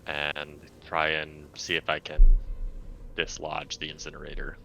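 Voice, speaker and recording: A man with an extremely deep, creaky voice plays a tabletop game. He talks casually and tentatively in a flat tone, with a forceful edge to the sound. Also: measured pace, soft background music, low-quality recording.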